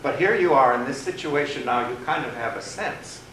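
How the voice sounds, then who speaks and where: A man voicing a wise, wry folktale rabbit with a questioning, deliberate, philosophical manner. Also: mid-range voice, a bit bright, natural voice. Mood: wise, gently admonishing. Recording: roomy, distant mic with faint noise.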